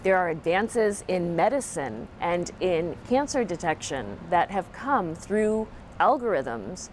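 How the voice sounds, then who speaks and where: Lower-voiced woman scientist in interview. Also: sing-song, slow, slight background noise. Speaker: astronomer, interviewee. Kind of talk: unscripted interview remarks.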